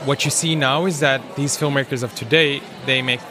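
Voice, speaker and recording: A man moderating an informal panel discussion, searching for his words with frequent pauses. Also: medium pitch, fairly even intonation, crowd noise. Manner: hesitant, searching, pausing often.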